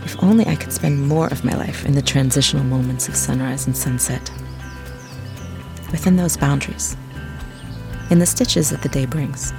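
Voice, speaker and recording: A woman narrating an audio journey, speaking softly and directly to the listener. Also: very deep voice, normal speed, creaky, long pauses. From English-speaking country. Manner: soft, gentle, addresses the listener directly. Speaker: audio tour guide. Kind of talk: scripted intimate narration. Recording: faint background music.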